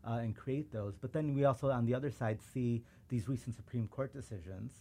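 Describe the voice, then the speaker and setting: This is a man from the Chicago suburbs with a mellow, warm voice, talking earnestly as a guest in a casual interview. He is reflective and now and then acts out the remarks people made to him. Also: mid-range voice, fairly quick. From suburban Chicago, USA. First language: Urdu. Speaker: podcast guest, civil rights lawyer.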